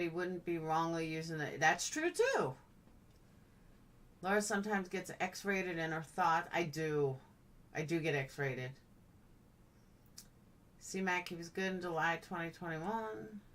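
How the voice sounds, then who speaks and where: A woman's voice: fairly low pitch, a bit bright, recorded from a distance. A woman live-streaming in a blunt, chatty, playful way, casually answering comments as they come in.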